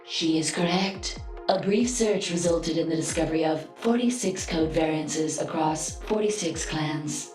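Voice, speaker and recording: A woman playing a polite, literal-minded female android in a video game, speaking formally and precisely with a deadpan manner. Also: deep voice, a little slow. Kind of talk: scripted video game dialogue. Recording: roomy echo, music behind.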